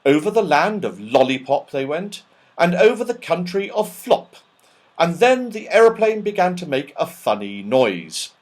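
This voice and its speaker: A man narrating a children's story as an audiobook reader, in an expressive, dramatic style. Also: on the high side, normal speed.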